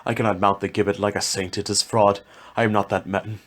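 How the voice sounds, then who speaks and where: A man acting a grave, anguished farmer who is torn by guilt, speaking in halting period drama. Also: lowish voice, little pitch movement, lightly acted. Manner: grave, halting, self-accusing.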